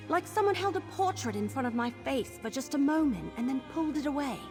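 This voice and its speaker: A woman's voice: fairly high pitch, natural voice. A woman playing an earnest, troubled young woman in a fantasy video game, confiding hesitantly. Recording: long hall reverb, game music behind.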